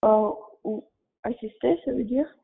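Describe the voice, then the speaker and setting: A woman's voice: on the high side, a little slow, vocal fry, breathy edge, narrow, tinny audio. A woman in her thirties with a Russian accent answers interview questions in French as a second language, often searching for words. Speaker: interview guest. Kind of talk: casual interview answers about career and work.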